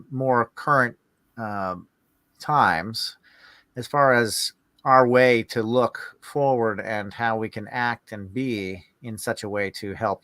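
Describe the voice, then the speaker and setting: A man hosting a podcast interview, speaking casually and haltingly as he feels his way through his questions. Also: on the low side, a bit bright, no echo. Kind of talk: casual, hesitant interview chat.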